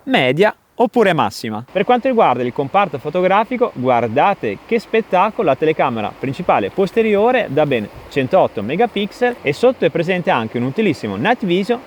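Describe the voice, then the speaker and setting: A man's voice: a little high, slight background noise. A man narrating a scripted product review in an enthusiastic, emphatic manner. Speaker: tech reviewer, video host.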